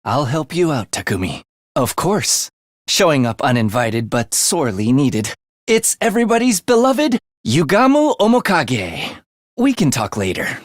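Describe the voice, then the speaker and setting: A man's voice: mid-range voice, huge pitch swings, measured pace, dry recording, studio quality. A man voicing an eerie, bloodthirsty young male student in a video game, with a sinister, theatrical manner that savors morbid details. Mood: sly, determined. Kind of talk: video game character voice acting.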